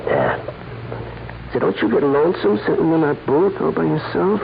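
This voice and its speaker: An actor playing a sly, flirtatious killer posing as a customer, with hesitant, coaxing small talk in a 1940s radio crime drama. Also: male voice, on the high side, big pitch swings, moderate pace, vocal fry. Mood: sly, friendly. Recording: dull, noisy 1940s radio recording.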